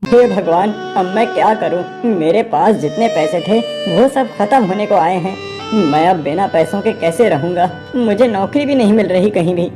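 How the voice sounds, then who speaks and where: A woman voicing a meek, honest young man, a younger brother in an animated moral story, speaking earnestly and pleadingly. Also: medium pitch, normal speed, over music, natural voice. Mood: despairing.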